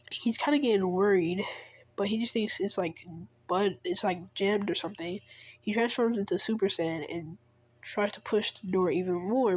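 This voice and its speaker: A woman's voice: mid-range voice, darkish tone, dry recording. A woman who is sick, casually retelling an invented story in a rambling way, with many fillers and restarts. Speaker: fan storyteller.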